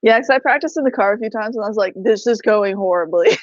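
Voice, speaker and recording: A woman player in a tabletop game, playful and self-mocking, laughing often. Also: fast talker. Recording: dull low-fidelity sound.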